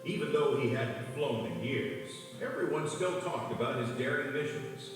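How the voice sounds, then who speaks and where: A man's voice: mid-range voice, animated, normal speed. A man reading scripted narration for a children's read-along storybook. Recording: echoey, distant, long reverb, music behind.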